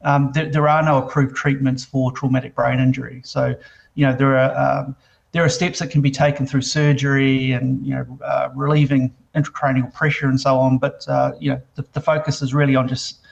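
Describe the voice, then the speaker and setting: A man with a New Zealand accent, a company CEO answering interview questions, halting with many fillers and restarts. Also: medium pitch, level tone, vocal fry, highs cut off.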